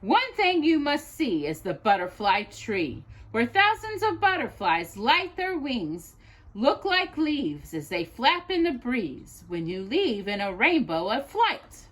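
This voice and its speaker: Bright, very animated woman reading children's rhymes. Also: a little high, takes it slowly. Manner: sing-song, expressive, addressing a child. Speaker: storytime host reading aloud. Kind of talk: children's picture book read-aloud of rhyming poems.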